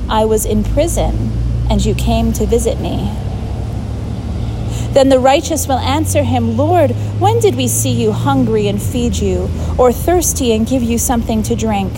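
An outdoor field recording with clear ambient noise and passing vehicles. A Canadian woman with a slightly high voice reads scripture aloud on location. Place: outdoors outside a prison.

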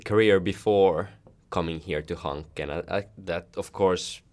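A man's voice: deep voice, measured pace, darkish tone. A man hosting a podcast, asking loose, rambling questions full of fillers. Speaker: podcast host. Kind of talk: casual interview chat.

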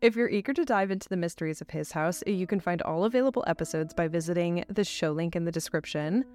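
A woman's voice: on the low side, fast talker, darkish tone, faint music behind. A woman hosting with a polished, effusive scripted announcement. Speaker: host and voice actor.

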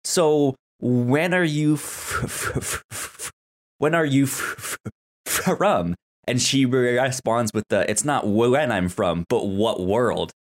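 A man co-hosting a podcast in casual TV recap chat, excitable and often stammering over repeated words. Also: mid-range voice, slow pace. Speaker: podcast co-host.

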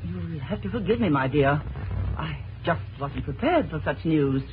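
An actress playing a genteel, middle-aged upper-class mother in a 1940s radio drama, polite, measured and condescending. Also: low voice, expressive. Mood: politely reserved. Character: genteel, gracious but reserved upper-class society mother. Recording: tinny, noisy old radio broadcast, distant.

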